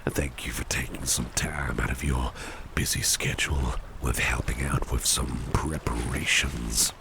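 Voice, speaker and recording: A man voicing a suave, attentive gentleman boss in a gravelly, half-whispered ASMR roleplay, speaking in a reassuring, coaxing way. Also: extremely low pitch, fairly even intonation, measured pace, background noise, heavily acted. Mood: courteous, coaxing.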